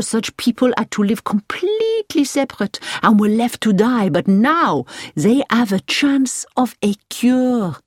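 Woman voicing an enthusiastic male driver, raised pitch. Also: a little mellow, no echo, studio quality. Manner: enthusiastic, emphatic. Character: eager, proud French-speaking male driver, polite to madame.

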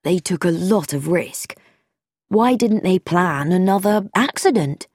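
A woman playing an easygoing young man who reasons things through slowly and thoughtfully. The delivery is dry and close, with a lively lilt. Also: fairly low pitch, husky, no room sound. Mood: puzzled. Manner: slow, thoughtful, plain.

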